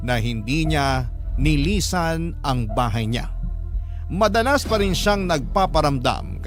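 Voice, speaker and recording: A man's voice: fairly high pitch, lightly acted. An actor with a Filipino accent playing an earnest, reflective young man who narrates his own letter in a radio drama. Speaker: narrator of a listener's story. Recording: prominent music bed under narration.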